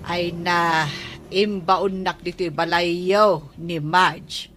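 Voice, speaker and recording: An actress playing a meek, apologetic older aunt in an Ilocano radio comedy drama. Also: female voice, lowish voice, steady tone, extremely slow, over music.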